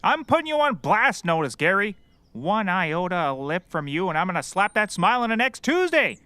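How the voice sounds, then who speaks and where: An actor playing a clueless, self-important and excitable private eye in a scripted comedy, with bombastic delivery. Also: male voice, sits high, normal speed, clear, resonant tone, no room sound, full character voice. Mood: indignant. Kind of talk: scripted audio comedy acting.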